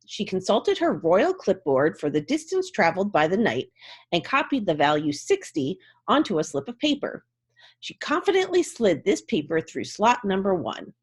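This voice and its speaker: A woman's voice: medium pitch, husky. A woman giving a dramatic, theatrical storybook reading as narrator. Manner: theatrical, expressive.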